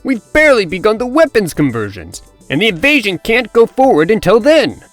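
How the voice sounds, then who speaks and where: A woman acts a worried pig rebel in a comic dub. She is indignant and fretful, her pitch swooping dramatically, recorded dry over faint music. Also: very animated, a little fast, dry recording, natural voice. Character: anxious, protesting female pig revolutionary. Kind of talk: comic-book dub acting.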